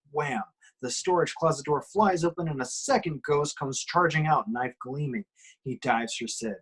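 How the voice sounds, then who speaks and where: A man's voice: mid-range voice, a bit bright, breathy edge, rough recording. A man reading screenplay action lines dramatically at a table read, punching out the sudden action words. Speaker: reader of the action description.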